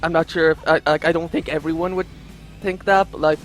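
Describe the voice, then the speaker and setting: Guy with a slightly high voice, chatting casually over a let's play, faint music. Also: fairly even intonation, fairly quick, rough recording. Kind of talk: casual gaming commentary. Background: game music.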